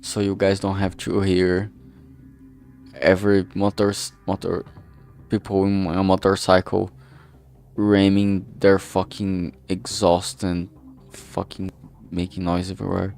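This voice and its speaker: A man with a lowish voice and a strong creak talks off the cuff to his stream. He rambles and stumbles as he hunts for words, dropping casual swearing along the way, over a streaming mic. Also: monotone, darkish tone. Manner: rambling, halting, often losing his thread. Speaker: streamer and amateur game writer. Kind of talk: casual livestream chat. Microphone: filtered streaming microphone. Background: background music.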